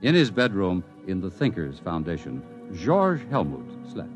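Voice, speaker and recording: A man narrating a futuristic 1950s radio drama in a measured, wry way over music. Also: sits low, wildly swooping pitch.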